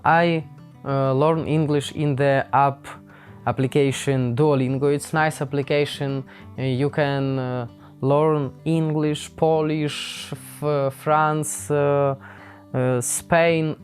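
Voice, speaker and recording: A young man from Ukraine with a Ukrainian accent, speaking unscripted to camera in a halting, hesitant way, with many pauses and fillers. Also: fairly high pitch, steady tone. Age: young adult. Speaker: vlogger. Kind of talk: unscripted casual talk to camera. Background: faint guitar music.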